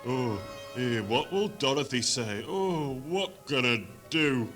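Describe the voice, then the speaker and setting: A man's voice: medium pitch, animated, slow, fairly bright tone. A man playing a naive, hapless working-class lad with a broad Northern English accent and exaggerated exclamations in a comedy radio play. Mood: dismayed. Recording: 1970s hospital radio recording, music behind.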